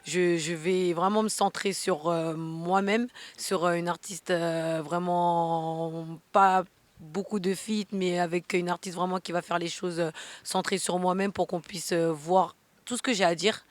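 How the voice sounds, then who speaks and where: A woman's voice: on the low side, steady tone, normal speed, no echo. A young woman, a singer answering interview questions casually and repeating phrases for emphasis. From France.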